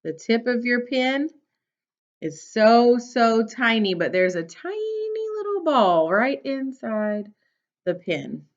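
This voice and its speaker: A woman's voice: on the high side, very animated, takes it slowly. A woman teacher reading a picture book aloud to young children, instructing and prompting them with questions. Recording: dull, lo-fi sound.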